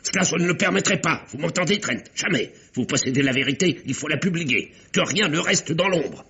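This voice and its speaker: A man's voice: a little high, lively intonation, brisk, vocal fry. An actor playing an earnest, insistent older uncle in an old French radio drama, speaking formally and articulately as he probes. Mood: indignant. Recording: dull, noisy vintage radio recording.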